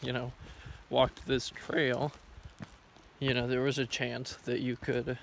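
A man's voice: medium pitch, completely flat delivery, slow, creaky. A man in his twenties talking casually and a little hesitantly as he walks, vlogging into a handheld phone outdoors. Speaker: hiking vlogger. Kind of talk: casual rambling vlog commentary while walking. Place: outdoors on a forest railroad trail. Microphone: phone camera at arm's length. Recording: noisy outdoor handheld recording.

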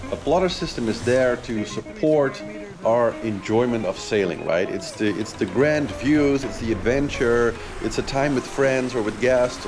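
An excited man in his thirties talks through a sailing gadget on his boat outdoors, his voice sitting at an ordinary middle pitch. He stumbles over fillers as he goes, and music plays clearly underneath him. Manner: enthusiastic, with frequent fillers. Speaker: sailing vlogger and charter captain. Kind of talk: casual product review. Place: on a sailboat, outdoors.

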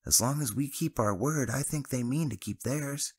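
A man voicing an excitable young male friend in a high, strained voice. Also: moderate pace, husky, natural voice. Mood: hushed, firm. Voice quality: high strained voice, much raised.

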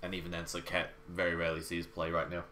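A man's voice: low-pitched, monotone, fast talker, bright, forceful tone. A young man with a cold and a nasal, blocked-nose voice, giving casual, sarcastic game commentary at home. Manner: sarcastic, swears casually, trails off and restarts. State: sick with a cold. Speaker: gaming video host. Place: home room with wooden floors.

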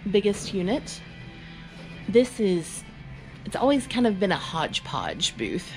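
A woman giving a chatty, upbeat walk-through of her booths in a large indoor antique mall, recorded on the camera she carries. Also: lowish voice. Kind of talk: walk-through tour vlog.

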